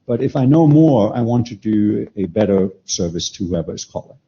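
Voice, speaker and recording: A man with a low, mellow voice, making his case at a technical meeting. He talks informally and with energy, thinking aloud as he goes, and the recording sounds dull and lo-fi. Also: animated, moderate pace, husky. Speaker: meeting participant. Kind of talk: technical discussion at a standards meeting. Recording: dull lo-fi conference room audio.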